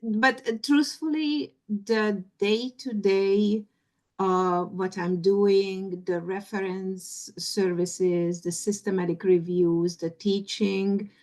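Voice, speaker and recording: A woman giving an informal panel answer as a library manager, with halting, hesitant phrasing. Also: medium pitch, steady tone, darkish tone.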